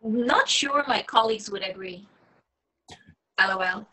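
A woman's voice: mid-range voice, a little slow, bright, forceful tone, a little hiss or hum, low-quality recording. A woman reading the part of a wary, lonely, sardonic online dater in her late twenties, hesitant and wry, in a film table read. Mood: guarded, casual. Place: small room at home, video call. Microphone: computer mic on a video call.

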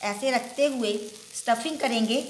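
A woman's voice: fairly high pitch, moderate pace, background noise. A woman hosting a home cooking show, explaining each step of a recipe at the stove in a homely way. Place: home kitchen at a griddle. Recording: echoey kitchen with sizzling griddle.

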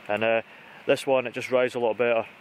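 A man's voice: mid-range voice, level tone, brisk, rough recording. A man in his thirties with a British accent, giving an informal, chatty gear walkthrough to camera as an outdoor vlogger. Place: outdoors in conifer woodland. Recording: outdoor recording with clear background noise.

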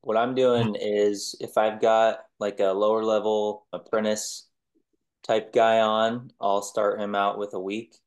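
Low, even-toned young man. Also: unhurried. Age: young adult. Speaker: painting business owner. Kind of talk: casual explanation on a group coaching call. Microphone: video call.

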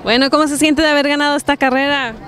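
A woman's voice: sits high, fairly even intonation, fast, fairly bright tone, slight background noise. Woman reporter asking questions off camera. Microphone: handheld mic held to guests, off camera.

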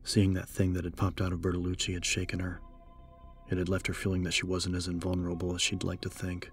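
A man narrating a scripted horror story as an audiobook, measured and serious. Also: deep voice, monotone, faint music behind.

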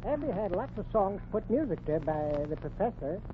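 A woman's voice: mid-range voice, sing-song, moderate pace, close to an everyday voice. An actress playing a folksy small-town woman with a rural American accent and homespun manner, in 1940s radio comedy style. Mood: gossipy. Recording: tinny, noisy 1940s radio recording.

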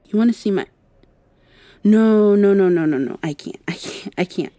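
A woman's voice: mid-range voice, normal speed, lightly acted. A woman playing a shy, insecure half-mosquito vampire girl in an ASMR roleplay, hesitant and confiding. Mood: ashamed, pleading. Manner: hesitant, soft-spoken, confiding.

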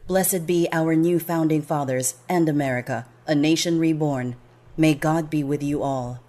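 A woman voicing a cold, formal female emergency broadcast announcer with a measured, solemn delivery. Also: low-pitched, background noise, lightly acted. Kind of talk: solemn scripted public announcement.

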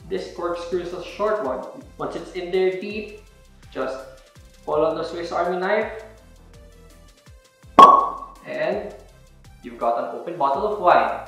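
A man's voice: fairly high pitch, measured pace, pauses often, faint music behind. A young man explaining step by step how to open a wine bottle, in an instructional demo recorded indoors. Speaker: wine presenter. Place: indoor room with a table. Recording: very echoey room.

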